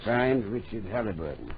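An actor playing an unhurried, amused ship's captain in a radio drama, with musing, easygoing authority. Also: male voice, on the low side, creaky, full character voice. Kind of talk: old radio drama acting. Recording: dull old radio recording, faint noise.

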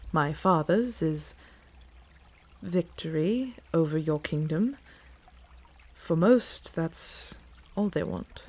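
A woman playing an earnest, playful dragon in human form in a fantasy ASMR roleplay, with a casual, teasing, halting delivery. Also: low-pitched, a little mellow, husky, exaggerated voice.

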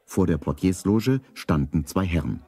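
A man's voice: sits low, animated, moderate pace, dark tone, breathy edge, no room sound. A man narrating a scripted children's radio play as a storyteller.

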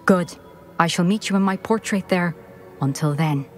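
An actress playing a formal, composed former headmistress speaking from a portrait in a game. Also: female voice, on the low side, moderate pace, darkish tone, faint music behind, close to an everyday voice. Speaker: game character.

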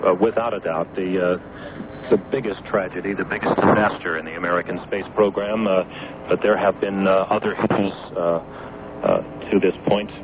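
A man anchoring live breaking news, halting with many fillers, on a noisy shortwave recording. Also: low voice, steady tone.

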